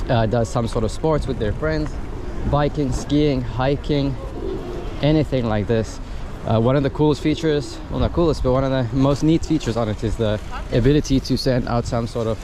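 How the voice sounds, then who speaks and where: Casual man vlogging on a noisy street. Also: mid-range voice. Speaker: gadget reviewer and vlogger. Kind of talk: casual, unscripted product review vlog. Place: outdoors on a city street. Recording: noisy outdoor street with traffic ambience. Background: urban traffic and street noise.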